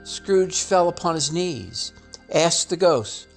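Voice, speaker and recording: A man reading a classic tale aloud as scripted narration, theatrical and deliberate in his delivery. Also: on the high side, animated, vocal fry, faint music behind. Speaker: storybook reader.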